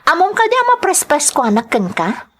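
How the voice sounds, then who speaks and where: A woman acts out a young Ilocano-accented sweetheart in a radio drama, coy and doubting yet tender toward her suitor. Her intonation is highly animated, with wide rises and falls. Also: moderate pace. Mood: teasing, doubting.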